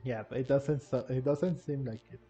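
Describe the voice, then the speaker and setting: A man giving casual, halting off-the-cuff gameplay commentary. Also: mid-range voice, steady tone, warm tone. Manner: hesitant, halting. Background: game music.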